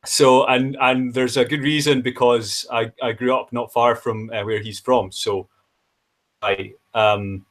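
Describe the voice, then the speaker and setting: A man's voice: mid-range voice, fairly even intonation, a little slow. Hesitant man with a Scottish accent. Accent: Scottish, east coast. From Scotland. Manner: hesitant, many fillers and restarts. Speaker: podcast guest. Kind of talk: casual interview talk.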